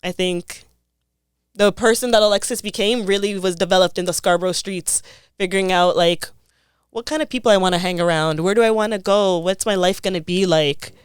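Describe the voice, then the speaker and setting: A woman's voice: mid-range voice, a little fast, clean tone, no echo, clean studio sound. A young woman, speaking as an interview guest. She talks in a thoughtful, casual way and often says "like.". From Toronto, Canada. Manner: thoughtful, frequent "like" fillers.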